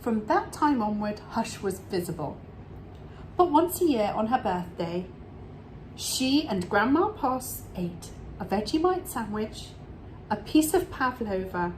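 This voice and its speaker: Animated woman reading a children's story slowly. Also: medium pitch, slight background noise, poor audio. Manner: animated, dramatic pauses and drawn-out words. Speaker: storybook reader for an English club. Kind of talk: expressive read-aloud children's storytelling.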